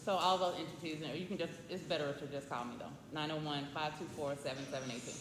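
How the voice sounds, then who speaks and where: A woman's voice: low-pitched, fairly even intonation, quick pace, background noise. A woman guest on a podcast, chatty as she promotes her event planning business. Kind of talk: casual podcast interview. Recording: echoey room with music behind.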